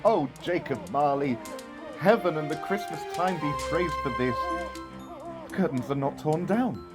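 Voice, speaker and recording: An actor playing an old Victorian miser in an audio drama, earnest and theatrical, with formal old-fashioned phrasing. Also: male voice, a little high, animated, a little slow. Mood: giddy joy. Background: music.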